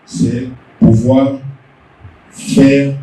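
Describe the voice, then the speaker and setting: Mellow man lecturing a hall. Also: medium pitch, little pitch movement, very slow. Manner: explanatory, emphatic. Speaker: company director giving the main talk. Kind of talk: lecture-style speech. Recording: echoey hall from far off.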